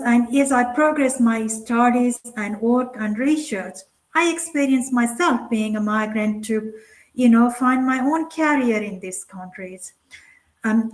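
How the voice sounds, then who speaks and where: Casting: middle-aged woman from Nepal, second-language English, slightly high voice, earnest keynote speaker with plenty of "um" and restarts. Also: rough recording. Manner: earnest, many fillers and restarts. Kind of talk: conference keynote talk.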